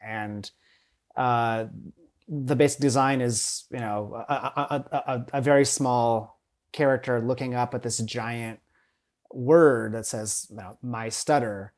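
A man being interviewed on a podcast, speaking in a relaxed way with frequent stuttered repetitions and fillers. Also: medium pitch, takes it slowly. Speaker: podcast guest, teacher. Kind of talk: casual interview.

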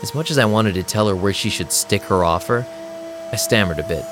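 A man's voice: lowish voice, fairly quick, noisy background, natural voice. An actor playing an anxious, wisecracking vampire DJ, telling his story in casual slang for an audio drama. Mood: wry narrating. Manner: casual, slangy, self-doubting. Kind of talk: first-person audio drama narration and dialogue.

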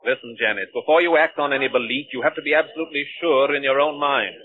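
A man's voice: mid-range voice, fast, natural voice. An actor playing an earnest young Englishman who firmly exposes a spy, in a 1940s radio drama. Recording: tinny, thin old radio recording.

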